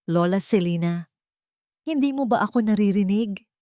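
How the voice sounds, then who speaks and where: A woman's voice: medium pitch, expressive, moderate pace, no echo, clean studio sound, voice pushed up, close to an everyday voice. A woman voicing a cruel, scheming daughter-in-law in an audio drama, needling and falsely sweet. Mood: sharp, menacing. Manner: sharp, needling, feigning concern.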